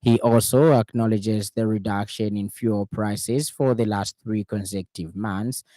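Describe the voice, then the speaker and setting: A man with a Zambian accent anchors a radio news bulletin. His voice is low and dark, with a relaxed tone and very little room sound. He reads the script formally, in measured announcer phrasing. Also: no echo.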